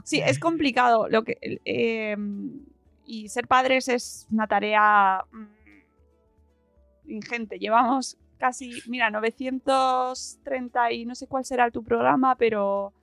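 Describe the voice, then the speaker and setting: A woman's voice: fairly high pitch, fairly even intonation, slow pace, a bit bright, no room sound, soft background music. A woman hosting a podcast, chatting informally with a guest in a casual interview style. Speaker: podcast host.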